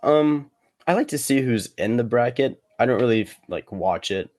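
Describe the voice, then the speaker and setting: A man's voice: medium pitch, animated, normal speed, a little mellow. A twenty-year-old man chatting casually as a podcast guest, rambling hesitantly and saying "like" a lot. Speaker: guest cornhole player. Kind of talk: casual podcast interview chat.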